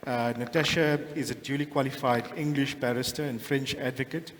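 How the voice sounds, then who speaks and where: A man's voice: mid-range voice, fairly even intonation, brisk, big-room sound, noisy background. A man moderating a conference panel, formally introducing the next speaker.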